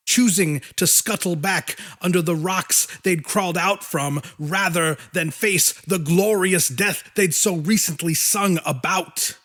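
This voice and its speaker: A man reading horror fiction as an audiobook narrator, in a deliberate, theatrical way. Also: a little high, fairly bright tone. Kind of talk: dramatic scripted narration of a horror story.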